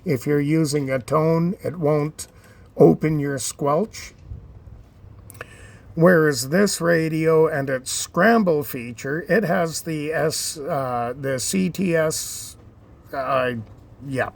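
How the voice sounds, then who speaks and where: Slow, gravelly man explaining radios. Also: on the high side. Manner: unhurried, many fillers and restarts, plain-spoken. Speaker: ham radio hobbyist reviewer. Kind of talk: casual show-and-tell explanation.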